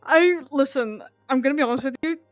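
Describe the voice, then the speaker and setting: An Australian woman talks in a laid-back, chatty way and swears freely. Her voice sits a little higher than average. Faint music hums underneath. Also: a little fast. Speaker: roleplay player. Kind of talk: casual chat.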